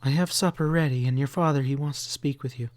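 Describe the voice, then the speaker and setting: A man reading aloud and voicing a gentle, caring farm mother who speaks softly to comfort her son. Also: fast talker, no echo, lightly acted. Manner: soft, soothing, low-voiced.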